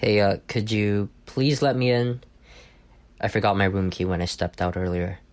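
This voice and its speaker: A man playing an arrogant, sardonic office rival with a soft spot, in an intimate roleplay audio drama. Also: on the low side, steady tone, moderate pace, slight background noise, natural voice. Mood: exasperated, tired. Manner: teasing, sardonic, stammers when caught off guard. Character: arrogant, bantering male office rival turned flustered admirer.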